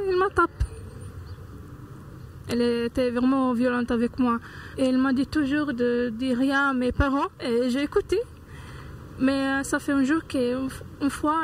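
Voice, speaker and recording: A woman's voice: high-pitched, slight background noise. A woman from Morocco who speaks with hesitations, telling a personal story in a street interview. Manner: halting, searching for words.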